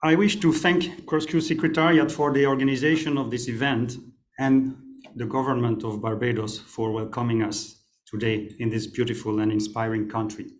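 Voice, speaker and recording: A man's voice: mid-range voice, little pitch movement. Man reading formal protocol greetings. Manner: measured, listing dignitaries formally. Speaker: development officer addressing a council.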